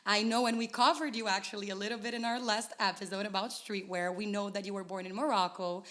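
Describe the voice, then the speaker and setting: A woman's voice: medium pitch, steady tone, fast talker, a bit bright. A young woman hosting an interview, enthusiastic and affirming as she asks her guest questions. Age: young adult. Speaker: interview host, designer. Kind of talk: interview conversation.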